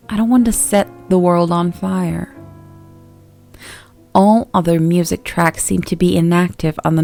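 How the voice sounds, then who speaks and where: Lower-voiced woman narrating over music. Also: a little mellow. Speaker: creepypasta narrator. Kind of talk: scripted horror story narration. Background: background music.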